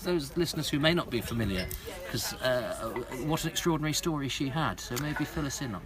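A man's voice: mid-range voice, animated, fairly quick, crowd noise. A man hosting an interview podcast who asks friendly questions with hesitant restarts. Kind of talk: conversational interview.

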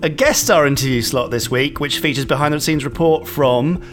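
A man's voice: fairly high pitch, normal speed, faint music behind. A man co-hosting a podcast, chatting casually with dry, self-deprecating humour. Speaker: podcast co-host. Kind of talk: casual studio chat.

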